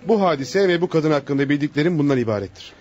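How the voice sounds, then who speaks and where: A man's voice: on the high side, expressive, quick pace, darkish tone, soft background music. An actor playing an earnest, resolute male psychiatrist in a radio theatre drama. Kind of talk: Turkish radio theatre acting. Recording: muffled lo-fi radio drama recording.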